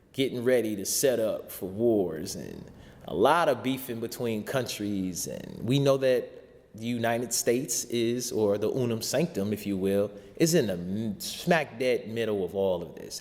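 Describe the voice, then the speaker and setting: A man's voice: on the low side, a little mellow. A man giving an impassioned, sermon-like spiritual talk in informal vernacular, with rhetorical repetition. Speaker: show host and spiritual teacher. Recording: long hall-like reverb.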